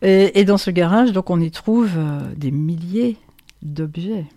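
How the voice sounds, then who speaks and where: A woman interviews a guest in a low, mellow voice. The recording is dry and close to the mic. She keeps her prompts brief and moves the talk along with follow-up questions. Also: measured pace, no echo. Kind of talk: radio interview.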